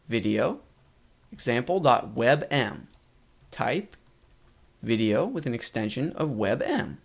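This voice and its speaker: Lively-pitched male tutorial narrator. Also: gravelly. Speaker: web design course instructor.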